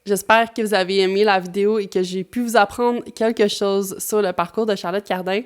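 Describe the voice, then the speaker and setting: A woman's voice: medium pitch, fairly even intonation, a little fast, clear, resonant tone. A young woman from Québec with a Québécois accent, hosting a chatty video with frequent fillers. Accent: Québécois French. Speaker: YouTube host. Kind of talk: talking-to-camera commentary.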